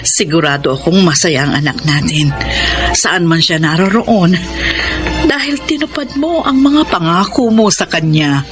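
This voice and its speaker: Loud music runs under a Filipino radio drama. An aging wife speaks gently and sentimentally, tender and grateful, at peace with her past. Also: fairly low pitch, lively intonation, a little slow.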